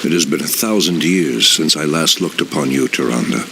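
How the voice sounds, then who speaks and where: A man voicing an ancient, grave elf druid leader in a game cutscene, speaking in a deep, solemn voice. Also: moderate pace, vocal fry, background noise. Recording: voice over loud music.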